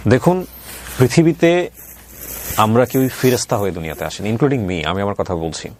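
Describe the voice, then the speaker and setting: A man's voice: on the low side, animated, normal speed, a little mellow, a little hiss or hum. A Bangladeshi man hosting an interview show, speaking emphatically and mixing in English words. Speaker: interview host. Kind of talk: talk show interviewing and addressing viewers.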